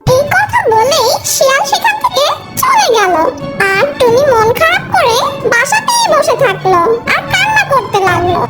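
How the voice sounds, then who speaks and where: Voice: extremely high pitch, sing-song, moderate pace. A narrator reading a scripted children's fairy tale for a cartoon. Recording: loud children's music behind the voice.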